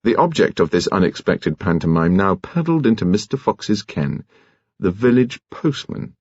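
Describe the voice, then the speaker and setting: A man's voice: low-pitched, sing-song, moderate pace, a little mellow, slightly rough, no room sound, muffled recording. A man narrating a scripted story as an audiobook reader.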